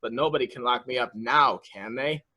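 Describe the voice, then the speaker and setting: Casting: male actor as naive, excitable childlike young man for a mystery radio play, medium register, rambling innocence. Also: mid-range voice, brisk. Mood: anxious, naive. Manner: guileless, rambling, repetitive. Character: childlike, simple, excitable young man fearing being locked up. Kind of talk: dramatic reading of murder mystery.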